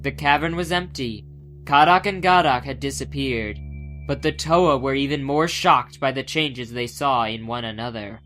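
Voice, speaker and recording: A man's voice: mid-range voice, normal speed, bright, forceful tone. A man giving a scripted, dramatic narration of a fantasy audiobook. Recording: music behind the voice.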